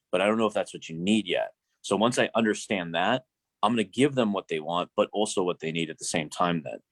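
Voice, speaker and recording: A man's voice: sits low, no room sound. A man hosting a live coaching Q&A, talking casually in a blunt, profane, rambling way with many restarts.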